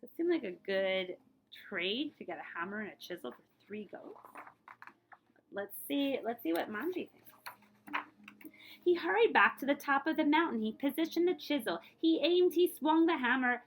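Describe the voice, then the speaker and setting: A woman reading a picture book aloud like a librarian, with expressive delivery, dramatic emphasis on key words and explanatory asides. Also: high voice, moderate pace, a little hiss or hum, rough recording.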